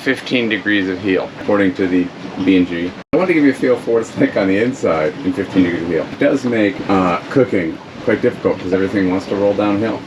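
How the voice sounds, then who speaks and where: A man's voice: deep voice, fast, hardly any pauses. A man casually explaining things to the camera on a sailboat at sea, chatty and enthusiastic, with fillers. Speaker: solo sailor and channel host. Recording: wind and water noise on a sailboat.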